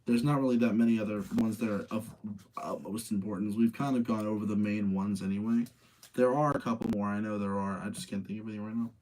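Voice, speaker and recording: A man's voice: fairly low pitch, fairly even intonation, fast talker, darkish tone, a little hiss or hum, rough recording. A tired man hosting a casual live rating session, chatting with his audience, rambling and thinking aloud as he gives his opinions. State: tired late at night.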